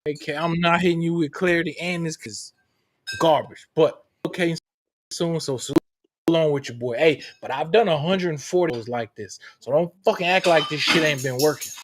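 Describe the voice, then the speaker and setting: Host: loose, profane man on a video call, dry close sound, slightly high voice. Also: moderate pace, no echo. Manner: profane, apologetic, loose and joking. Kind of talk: casual interview chat over a video call. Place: home setup in Florida.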